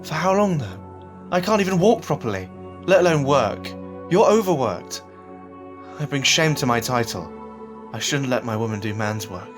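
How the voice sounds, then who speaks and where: An actor playing an injured, bitter young husband in an audio drama, proud, strained and despairing. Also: male voice, mid-range voice, lively intonation, moderate pace, music in the background, natural voice. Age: young adult.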